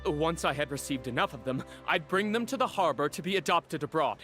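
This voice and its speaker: A panicky stammering smuggler. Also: male voice, a little high, animated, brisk, sharp tone. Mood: confessing. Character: cowardly stammering male smuggler caught by guards. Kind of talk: game voice acting. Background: music.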